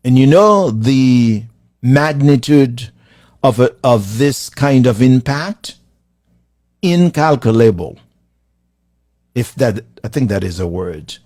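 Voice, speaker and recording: A man from Haiti with a Haitian accent, giving a live-streamed motivational talk to viewers, building his lines with slow, preacherly emphasis. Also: mid-range voice, expressive, darkish tone, no room sound, clean studio sound. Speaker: motivational speaker.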